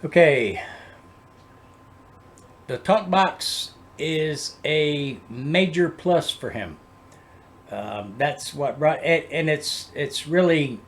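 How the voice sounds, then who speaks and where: A man's voice: a little high, expressive, slow, pauses often. A man hosting a music reaction channel, chatting casually and explaining things in a rambling, unscripted way. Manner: rambling, loosely improvised.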